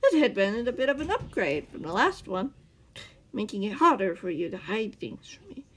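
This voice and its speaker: A gruff, short-tempered captor man who snaps and growls at full volume, as a woman reads him. His pitch jumps around with his temper in this storybook reading. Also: sing-song, normal speed. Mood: menacing. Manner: snapping, growling and loud.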